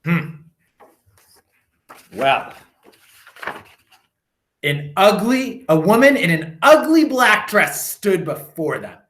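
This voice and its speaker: A man reads aloud to children like an expressive storyteller. His voice is bright and very lively in pitch, with unhurried, theatrical emphasis.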